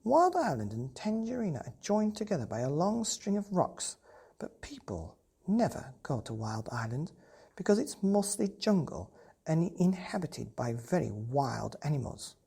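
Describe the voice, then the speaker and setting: Storybook old she-cat , woman performer, courteous and worldly, breathy rasp, animated musing delivery. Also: sits low, full character voice. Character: polite, well-travelled old female alley cat.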